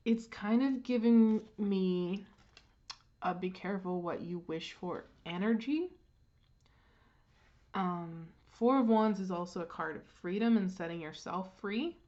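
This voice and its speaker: A woman with a congested throat gives a casual tarot reading, unhurried, clearing her throat and pausing on frequent ums. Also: mid-range voice, warm tone, lo-fi sound. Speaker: tarot reader.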